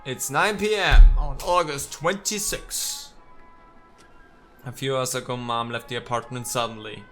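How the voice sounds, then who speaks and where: A man with a bright, forceful voice narrates a game as he plays, reading its text aloud and breaking off for sarcastic, exasperated remarks. His pitch sits in an ordinary male range, and faint music plays behind him. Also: unhurried. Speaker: let's player.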